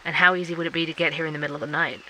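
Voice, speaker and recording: A man's voice: a little high, little pitch movement, racing, bright, forceful tone, a little hiss or hum, low-quality recording. An actor playing a curious male investigative podcaster, who narrates and probes with questions. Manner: inquisitive, probing.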